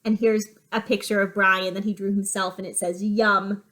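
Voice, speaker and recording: A woman's voice: mid-range voice, level tone, brisk, fairly bright tone, slight background noise. A woman teacher reading a picture book aloud to children, with simple explanatory asides.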